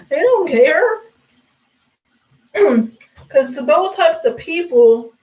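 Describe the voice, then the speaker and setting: Sassy hoarse young woman, slightly high voice. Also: expressive, drawn-out words, darkish tone, rough recording. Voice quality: raspy. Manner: sassy, chatty, calls viewers sweetie and honey. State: hoarse from talking for hours. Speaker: livestream host. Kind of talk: casual livestream chat.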